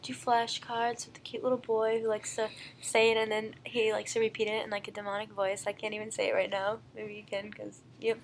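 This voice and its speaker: A woman's voice: medium pitch, monotone, brisk, hardly any pauses, slight background noise. Chatty young woman full of "like". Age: young adult. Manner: chatty, lots of "like" and "um", laughs easily. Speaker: student teacher. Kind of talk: casual chat.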